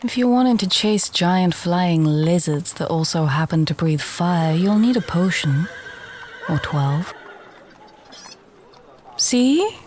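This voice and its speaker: A woman playing a friendly, teasing village alchemist in a fantasy audio roleplay, talking gently and conversationally to the listener. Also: lowish voice, slight background noise. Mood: wry teasing. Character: friendly female village alchemist, warm, teasing, earthy, encouraging.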